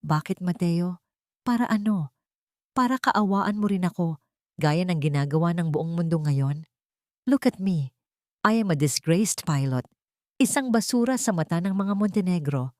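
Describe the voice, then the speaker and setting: A woman's voice: fairly low pitch, lively intonation, normal speed, pauses often, a little mellow, dry recording, clean studio sound, lightly acted. A woman voicing a proud, wounded young woman pilot who speaks in an anguished, pleading way.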